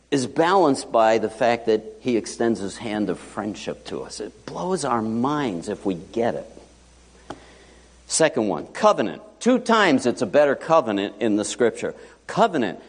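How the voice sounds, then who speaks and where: A male pastor preaching a sermon in a church, animated and joking, with sudden loud and hushed asides. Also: medium pitch, husky. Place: church sanctuary. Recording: long hall reverb.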